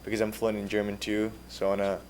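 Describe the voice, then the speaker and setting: A young man, a native Georgian speaker, answers questions in a low, polite, earnest voice. A faint hum runs under the recording. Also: monotone, quick pace, a little mellow. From Georgia (country). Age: teens. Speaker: exchange student. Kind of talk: answering questions at a public meeting.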